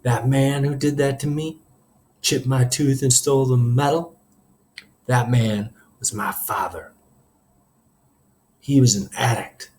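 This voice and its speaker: A man's voice: medium pitch, steady tone, takes it slowly, long pauses, a little mellow, close to an everyday voice. A man voicing a stern but caring male track coach in a story, speaking with confronting intensity. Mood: bitter, confessing.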